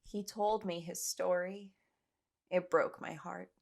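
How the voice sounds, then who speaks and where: An actress playing a troubled young castaway woman, telling her story earnestly and with measured care in an audio drama. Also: on the low side, steady tone, vocal fry, darkish tone, exaggerated voice. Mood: earnest, searching. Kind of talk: dramatic first-person audio drama narration.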